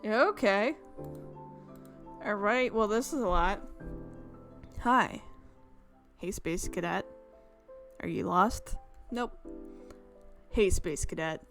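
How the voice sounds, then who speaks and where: A woman's voice: medium pitch, animated, takes it slowly, long pauses, fairly bright tone. A woman game streamer reading visual novel narration aloud, breaking off into chatty, exclamatory asides. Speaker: gaming channel host. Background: game music.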